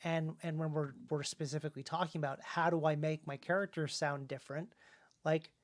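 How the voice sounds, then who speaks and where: Halting, discursive man on a casual livestream. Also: on the high side, fairly even intonation. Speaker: author and co-host of a writing talk show.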